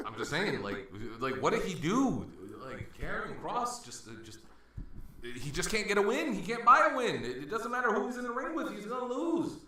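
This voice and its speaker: Expressive man in an echoey room. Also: sits high, normal speed, background noise. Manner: playful teasing, hype delivery. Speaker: podcast co-host. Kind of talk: casual banter.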